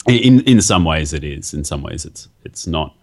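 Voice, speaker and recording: Super deep voiced guy, lots of ums and restarts, laid-back interview chat. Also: animated, moderate pace, vocal fry. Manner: hesitant, many fillers and restarts. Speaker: guest, media artist. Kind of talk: casual interview.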